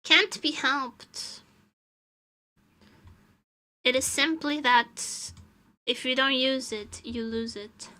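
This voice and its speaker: A woman's voice: high-pitched, drawn-out words, pauses often, pushed and bright. A young woman from Portugal chatting casually on a live stream, answering chat and laughing often.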